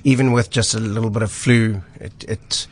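Husky-voiced man, a little under the weather. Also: lowish voice, moderate pace, slight background noise. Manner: relaxed, frequent ums and repeated words. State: husky voice from illness. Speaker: mountain guide, weekly radio guest. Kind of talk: casual radio chat. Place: radio studio.

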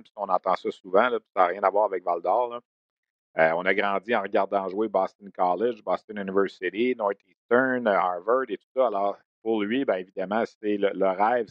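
A man's voice: on the low side, no room sound, highs cut off. A man hosting a hockey news segment and interviewing a player, talking in a loose, conversational way with many fillers. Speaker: sports radio host.

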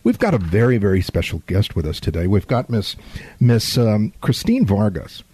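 A man from San Antonio with a Texan accent, hosting a conservative talk radio show, halting and repeating words with many fillers. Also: lowish voice, wildly swooping pitch, warm tone, slightly rough. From San Antonio, Texas.

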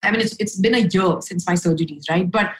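Candid low-voiced woman guest. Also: quick pace. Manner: frank, upbeat, lots of fillers and "right?" tags. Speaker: podcast guest. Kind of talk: candid conversational storytelling.

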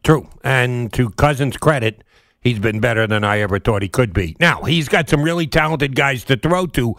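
Male sports talk host, creaky mid-range voice, dry studio sound, colloquial and opinionated. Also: medium pitch, normal speed, fairly bright tone, no echo. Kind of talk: conversational sports radio interviewing.

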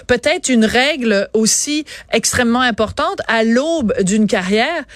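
A woman's voice: on the high side, expressive, unhurried, dry recording. Chatty Québécois woman radio host. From Quebec. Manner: warm, chatty, with frequent fillers. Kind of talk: casual radio interview.

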